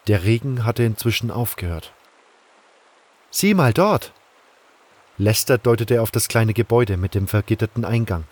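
A man's voice: on the low side, darkish tone, natural voice. An actor playing an adventurer who recounts his quest in the first person, as a storyteller in a scripted audio drama. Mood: in dialogue.

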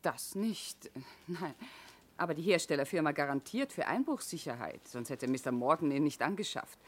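An actress playing a discreet, dutiful office employee who answers politely and a little guardedly in a 1970s radio crime play. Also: female voice, low-pitched, expressive, moderate pace. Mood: polite, earnest.

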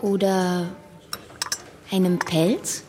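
A woman's voice: lowish voice, flat delivery, very slow. An actress in a radio drama playing a worldly woman companion who coaxes in a breezy, persuasive manner. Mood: coaxing. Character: coaxing, worldly woman companion tempting with luxuries. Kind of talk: German radio drama acting. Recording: music playing behind the voice.

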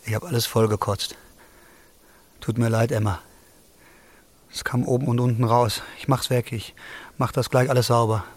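An actor playing an introverted, gentle, ailing man in an audio drama, speaking in brief, understated phrases. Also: mid-range voice, fairly even intonation, moderate pace, pauses often, lightly acted. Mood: apologetic, weak. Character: Max, introverted, gentle, gravely ill runaway man.

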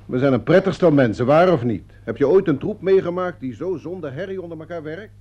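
A man playing a bossy theatre director in a radio play, brisk and authoritative, placating yet short-tempered. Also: fairly high pitch, lively intonation, darkish tone. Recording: dull, noisy old radio recording.

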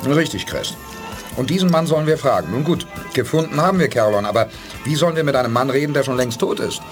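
An actor playing a calm, decisive male spaceship commander who reasons things through in a measured way, in a German radio play. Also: medium pitch, faint music behind, natural voice. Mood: steady. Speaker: lead character.

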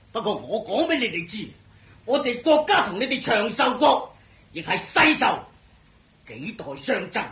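A defiant warrior king, all bluster and stammer in a radio drama. The voice is pitched high and swoops dramatically, recorded with a clear echo. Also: bright, forceful tone, heavily acted. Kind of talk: Cantonese radio drama acting.

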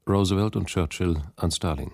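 Very deep, gravelly male announcer. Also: normal speed, darkish tone, dry recording, clean studio sound. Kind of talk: terse scripted announcements in radio drama.

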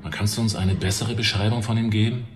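Radio drama cop male actor: paternal, coaxing lead interrogator, lowish pitch, measured, in a roomy echo. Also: fairly even intonation, soft background music, lightly acted. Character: patient, patronising male police detective leading an interrogation. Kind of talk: German radio drama acting. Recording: roomy, echoing interrogation scene.

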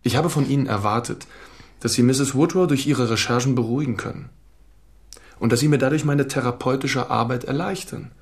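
A man playing a smooth, patronising psychotherapist in a crime radio drama, with a measured, formal and insistent manner. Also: mid-range voice, natural voice. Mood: reproachful. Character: smooth, patronising male psychotherapist, defensive and flirtatious. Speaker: supporting actor.

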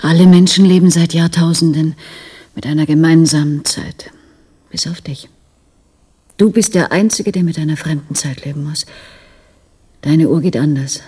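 A woman acting an earnest, devoted female doctor in a German radio drama, speaking softly and huskily in a measured, intimate way. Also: low voice, breathy edge.

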